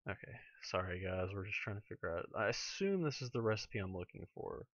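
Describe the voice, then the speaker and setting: Casting note: male let's-player, raspy, a bit hoarse, low gravelly tone, dull lo-fi mic. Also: a little fast, dry recording. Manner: chatty, talks to himself while playing, excitable. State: slightly hoarse throat. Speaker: let's play gamer. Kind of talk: casual gameplay commentary.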